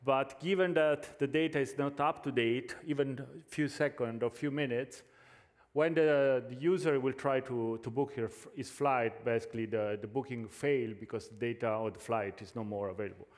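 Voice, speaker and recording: A man's voice: medium pitch, steady tone, normal speed, big-room sound. A man with an Italian accent giving a tech conference talk, hesitant, with many fillers and restarts. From Italy. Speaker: conference speaker. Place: large conference hall.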